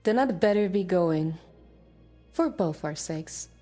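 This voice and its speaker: An actress playing a wary, earnest goddess of war in a visual novel, serious and formal. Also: female voice, fairly low pitch, animated, slow pace, mellow. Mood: earnest, resolute. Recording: long reverb, music behind.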